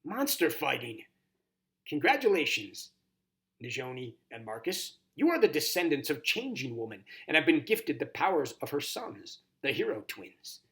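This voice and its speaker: Give the storybook a kindly, ceremonious horned toad, acted out by a man. The voice is grumbly and croaking, with courtly old-fashioned phrasing. Its pitch rises and falls in a very animated way. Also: normal speed.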